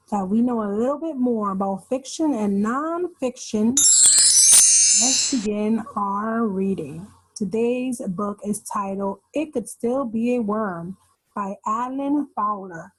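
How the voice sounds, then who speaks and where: A woman's voice: a little high, noisy background. A woman reading a children's nonfiction book aloud, explaining as she goes and pointing out the pictures. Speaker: read-aloud host for children.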